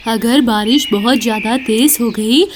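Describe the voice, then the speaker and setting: A woman's voice: on the high side, measured pace, a little hiss or hum, voice pushed down, close to an everyday voice. A woman voicing a hard-working, fretful male forest bird in an earnest, plain way for a children's cartoon. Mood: agreeing, concerned.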